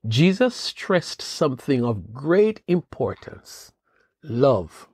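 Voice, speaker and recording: A man hosting a devotional podcast, giving an earnest, measured Bible teaching with rising questions. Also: medium pitch, very animated, a little mellow, slightly rough, no echo, studio quality. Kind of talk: scripted devotional talk.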